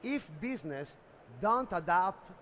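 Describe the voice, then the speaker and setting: A middle-aged man with an Italian accent, giving a business presentation at a trade expo and often pausing on "uh". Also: high voice, lively intonation, fairly bright tone, slight background noise. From Italy. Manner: hesitant, many "uh" fillers. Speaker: conference speaker. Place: expo hall stage.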